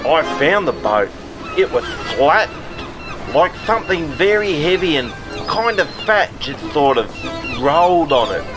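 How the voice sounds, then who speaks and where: A man's voice: on the high side, very animated, measured pace, music in the background, low-quality recording. A man performing several characters in a comic radio serial.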